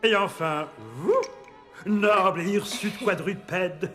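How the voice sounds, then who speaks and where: A man's voice: sits high, slow pace, fairly bright tone, soft background music. A man voicing a courtly, cheerful magical cartoon creature who speaks in a flowery, ceremonious way. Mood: gallant, jovial. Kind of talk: dubbed cartoon acting.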